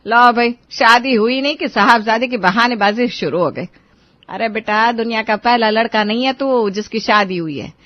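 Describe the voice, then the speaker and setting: A woman's voice: on the high side, moderate pace, lightly acted. A woman voicing a scolding middle-aged mother who nags her grown son with sarcasm, in a dull lo-fi recording. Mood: mocking.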